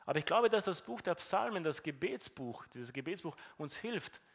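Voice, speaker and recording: A man's voice: a little high, very animated, moderate pace, a bit bright. Earnest Romanian-accented male preacher. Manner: earnest, frequent repeated words and restarts. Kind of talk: sermon.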